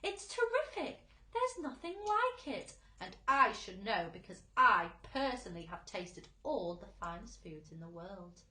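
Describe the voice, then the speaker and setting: A woman's voice: mid-range voice, big pitch swings, measured pace, hardly any pauses, pushed and bright, echoey room. A woman voicing a boastful, exuberant storybook centipede who declaims in rhythm. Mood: exclaiming, delighted. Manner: declamatory, rhythmic verse delivery. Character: boastful, exuberant, greedy centipede reciting a food song.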